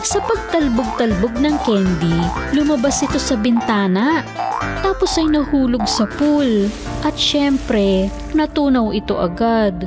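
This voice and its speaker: Lively woman storyteller over music. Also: a little high, a little slow. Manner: expressive storytelling. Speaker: storyteller, narrator. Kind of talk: scripted children's fairy tale narration.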